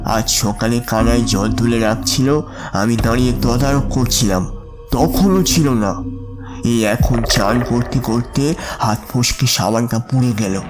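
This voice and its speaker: A man performs a worried, respectful businessman from a Bengali ghost story. He speaks slowly in a pleading, fretful way, at a medium pitch, over clear background music. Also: natural voice. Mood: shaken, bewildered. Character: frightened Bengali businessman, respectful, anxious and plaintive.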